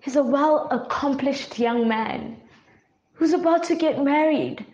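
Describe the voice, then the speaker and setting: Casting: actress as guilty, placating twin sister, slow halting apologies, melodrama, echoey room sound. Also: female voice, sits high, a little mellow. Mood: pleading. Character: guilty, pleading twin sister seeking forgiveness. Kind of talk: melodramatic audio-drama acting. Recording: echoey room, slightly muffled.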